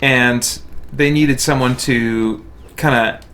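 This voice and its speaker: An American man with a mid-pitched voice makes easygoing small talk as he streams a game. His attention drifts between conversation and play, and faint noise sits behind him. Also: fairly even intonation, slow pace, fairly bright tone. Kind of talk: casual chat while gaming.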